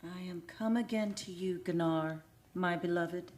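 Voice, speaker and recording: A woman's voice: fairly low pitch, a little slow, a little mellow, lightly acted. A woman playing a loving spirit from the sea in a radio play, speaking solemnly in an archaic romantic way.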